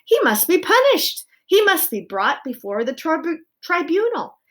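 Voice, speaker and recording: A woman's voice: medium pitch, big pitch swings, brisk, fairly bright tone. A woman voicing an outraged father mouse in a storybook, emphatic, exclamatory and melodramatic. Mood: furious.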